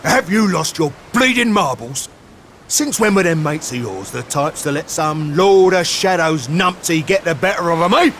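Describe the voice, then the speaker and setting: Blunt Cockney sailor, highly animated. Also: male voice, a little slow, a little hiss or hum. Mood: exasperated. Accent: British, Cockney. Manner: blunt, rough-and-ready, animated. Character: burly, loyal, blunt male pirate-ship crewman. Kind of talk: video game cutscene acting.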